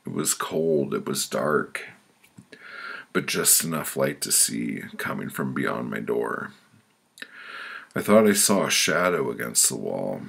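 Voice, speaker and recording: A man reading his own writing as audiobook narration, in short phrases with deliberate pauses. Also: fairly bright tone. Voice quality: very deep, gravelly, husky. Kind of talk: scripted audiobook narration of poetic memoir.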